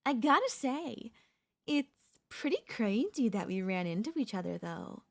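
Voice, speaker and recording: A woman's voice: fairly high pitch, sing-song, creaky, heavily acted. A woman voicing a chatty, teasing zombie in a comedic audio roleplay, talking casually and playfully straight to the listener. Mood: teasing, persuasive. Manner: casual, playful, taunting, slangy, drawn-out delivery. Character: chatty, teasing, cocky female zombie hunting a human survivor.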